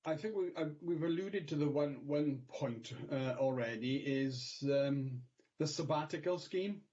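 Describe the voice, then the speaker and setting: A man answering questions at a council meeting, speaking haltingly with many repeated words. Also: on the high side, darkish tone. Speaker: council education officer. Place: video call. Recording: lo-fi video call audio.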